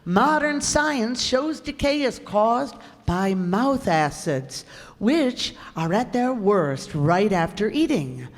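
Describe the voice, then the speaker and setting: A woman's voice: medium pitch, lively intonation, slow pace. A woman reading a vintage radio commercial as an announcer, with an emphatic, declarative sales delivery. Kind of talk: 1940s radio advertisement reading. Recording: very echoey hall stage recording.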